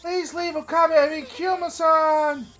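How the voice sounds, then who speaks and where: A man voicing a shy, gentle teenage boy who sounds girlish, hesitant and nervously polite. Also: extremely high pitch, little pitch movement, unhurried, pitched above the performer's own voice, full character voice. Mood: flustered.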